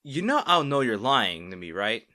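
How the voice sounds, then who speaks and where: A man's voice: mid-range voice, big pitch swings, moderate pace, sharp tone. A man playing a caring, worried boyfriend in an intimate roleplay audio drama, earnest and pleading. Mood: exasperated. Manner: earnest, pleading, emphatic, with sighs.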